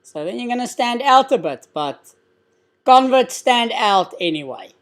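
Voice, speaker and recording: A man's voice: very high voice, lively intonation, unhurried, a bit bright. A man from South Africa with an Afrikaans accent, hosting a casual live stream Q&A and explaining things conversationally with frequent fillers. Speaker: live stream host answering questions.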